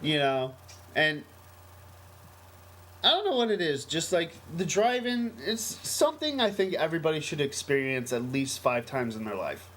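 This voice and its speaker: Higher-pitched American man, noisy recording. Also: big pitch swings, moderate pace, a bit bright. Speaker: podcast co-host. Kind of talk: casual podcast chat. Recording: noisy amateur podcast recording.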